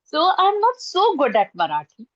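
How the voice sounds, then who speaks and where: A woman's voice: high voice, huge pitch swings, normal speed, fairly bright tone, no room sound, lo-fi sound. A middle-aged woman from India with an Indian accent, whose first language is Urdu, answering interview questions earnestly over a video call with many fillers and restarts. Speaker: guest, college professor and trainer. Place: indoors at home, curtain behind.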